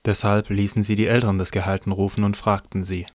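Low, even male narrator. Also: no echo, studio quality. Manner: measured, matter-of-fact. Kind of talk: scripted scripture narration for audio drama.

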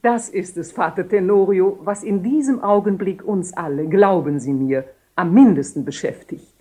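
An actress playing a cool, amused aristocratic mother in a radio drama, self-assured, with a husky voice. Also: mid-range voice, normal speed, dark tone, soft background music, close to an everyday voice. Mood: wry.

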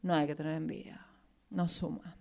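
Woman acting chatty female dancing shoe, affected, boastful, Argentine accent, slightly low pitch, improvised radio play. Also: level tone, normal speed, gravelly, a little mellow. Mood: dreamy, flirty. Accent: Argentine Rioplatense. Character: vain, chatty, flirty female high-heeled tango shoe. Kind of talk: improvised radio theatre interview in character.

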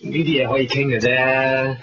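An actor playing a brisk, coaxing advertising agent in a Hong Kong Cantonese radio drama, mixing in English words. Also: male voice, mid-range voice, little pitch movement, a bit bright, dull audio, natural voice. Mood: persuasive. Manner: pushy, coaxing sales talk sprinkled with English words. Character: brisk, persuasive male advertising agent pitching a shoot. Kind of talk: 1990s radio drama acting.

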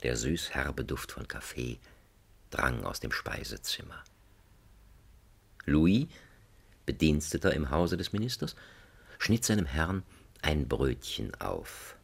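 A man narrating a satirical radio drama as a wry, mock-solemn storyteller, with a gravelly, husky voice. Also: rumbling low, very animated, slow, pauses often, exaggerated voice. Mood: dry, ironic. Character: wry, leisurely male storyteller of a satirical fairy tale. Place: radio studio.

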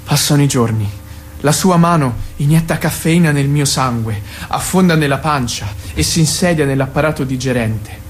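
A man acting a higher-voiced, teasing, insistent lover. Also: natural voice. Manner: teasing, probing, by turns lyrical and confessional. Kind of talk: radio play dialogue and monologue.

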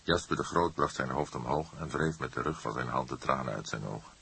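A man's voice: rumbling low, brisk, vocal fry, pushed and bright, breathy edge. A man narrating a scripted crime story as an audiobook reader.

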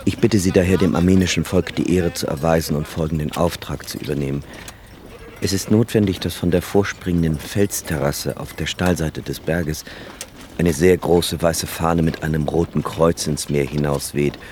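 Very deep, solemn male clergyman. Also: steady tone, darkish tone, slight background noise. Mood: courteous, solemn. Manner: formal, measured, grave. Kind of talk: radio play acting, formal speech.

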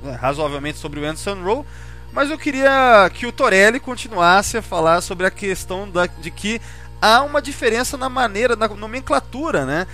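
High-voiced Brazilian man hosting a podcast. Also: expressive, moderate pace, pushed and bright. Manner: peppers talk with tag questions. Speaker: podcast host. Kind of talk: casual discussion of a TV series. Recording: music under the voice.

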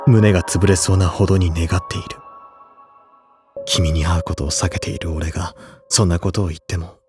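An actor playing a serious, reserved high school boy, speaking in a stiff, earnest way in an anime audio drama. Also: flat delivery, moderate pace, music in the background, natural voice. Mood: earnest, anguished. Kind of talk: anime audio drama dialogue and letter reading.

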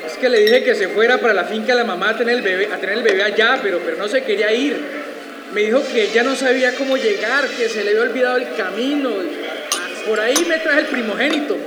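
An uneasy Colombian army lieutenant, played by a man in a radio play. His male voice is high and hesitant, deferential to superiors, heard in a noisy hall with long reverb. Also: brisk, close to an everyday voice. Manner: hesitant, repeats himself. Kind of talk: live radio drama reading.

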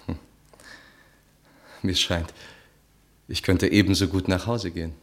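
A conscience-stricken husband from a radio play, with a low voice. He talks in a hesitant, awkward way and sounds resigned. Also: little pitch movement, measured pace. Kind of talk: German radio play acting.

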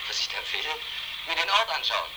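An actor playing a guarded, politely stubborn stranger who answers tersely and evasively in a naturalistic radio drama. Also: male voice, a little high, big pitch swings, moderate pace, heavy background noise.

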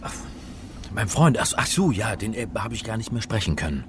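A man's voice: lowish voice, sing-song, a little fast, background noise. An actor playing a flustered, wry male scholar in trouble, in a radio drama with first-person narration, sounding hesitant and sardonic.

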